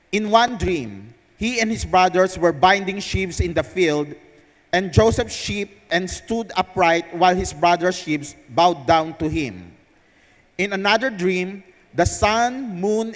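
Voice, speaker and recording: A high-pitched male voice with a Filipino accent, heard through long hall reverb. He presents to an audience at a live event, speaking as a host on stage. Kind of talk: scripted narration read aloud to a live audience. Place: large indoor theater hall. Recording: echoey hall over PA sound system.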